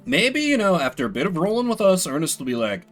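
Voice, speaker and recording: A man's voice: high-pitched, very animated, fast, soft background music. A man giving casual, rambling commentary as he streams a video game, with playful mock-grandiose asides. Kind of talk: casual gameplay commentary, voicing game text.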